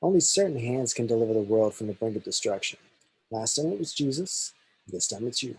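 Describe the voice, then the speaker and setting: A man playing an easygoing, cryptic prophet in an amateur screenplay table read, with a husky voice. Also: mid-range voice, expressive, fairly quick, darkish tone, low-quality recording. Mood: solemn.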